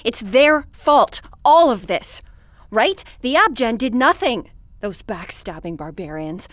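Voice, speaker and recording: A woman playing a commanding, desperate space station commander in an audio drama, speaking deliberately and dramatically. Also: fairly high pitch, animated, dry recording. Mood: indignant, commanding. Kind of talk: scripted audio drama acting.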